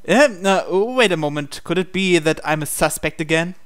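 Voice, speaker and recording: A man reading visual novel dialogue aloud in character, with a hesitant, halting delivery. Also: on the high side, a bit bright, smooth. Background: game music.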